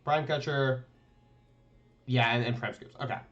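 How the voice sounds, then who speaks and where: A man's voice: medium pitch, steady tone, a little slow, fairly bright tone, faint music behind. A man live-streaming his commentary on tournament match footage, rambling and thinking aloud, often tagging his remarks with "right?". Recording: dull lo-fi stream audio.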